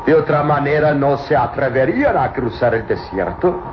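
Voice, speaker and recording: An actor playing a cruel, arrogant villain in an old radio serial, mocking and gloating with theatrical exclamations. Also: male voice, mid-range voice, expressive, moderate pace, natural voice. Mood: gloating menace. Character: sneering, cruel male villain, arrogant tomb-robbing master of slaves. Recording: muffled, noisy old radio drama recording.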